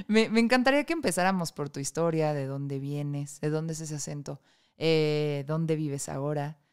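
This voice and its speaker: A woman hosts a casual interview podcast, asking open, curious questions. Her voice is low for a woman, with a smooth and clear tone. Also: measured pace, darkish tone. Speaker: podcast host.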